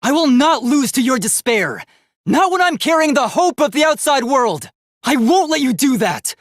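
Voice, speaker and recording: A man voicing an earnest, determined and idealistic young male robot in a dramatic video game, with a youthful, light, slightly husky voice and a formal, emphatic delivery. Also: very high-pitched, very animated, normal speed, dry recording, clean studio sound. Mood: fierce, defiant.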